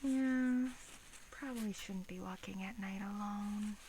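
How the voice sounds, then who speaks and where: A woman playing a coaxing, politely menacing vampire in a soft-spoken ASMR roleplay, gentle and hesitant, often slipping into a whisper. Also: medium pitch, unhurried, a little hiss or hum, close to an everyday voice, rough recording. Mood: coaxing, matter-of-fact.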